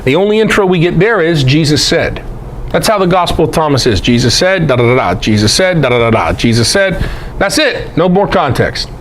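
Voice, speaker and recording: A man teaches scripture like a lively lecturer, in a high voice that swings widely in pitch. He is emphatic and conversational, posing rhetorical questions and dropping folksy asides. Noticeable noise sits behind him. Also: a little fast. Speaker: presenter and Bible teacher. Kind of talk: animated lecture on scripture scholarship.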